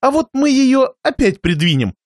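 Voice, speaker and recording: Man putting on a high-pitched, suffering miserly merchant host. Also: sing-song, normal speed, no room sound, clean studio sound. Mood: strained, seething. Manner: plaintive, forced politeness. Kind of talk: dramatised reading of a satirical short story.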